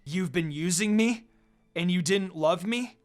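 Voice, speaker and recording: A man voicing a hot-headed young male half-demon in an anime fan story, loud, aggrieved and confrontational over music. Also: sits high, measured pace. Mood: betrayed, shouting.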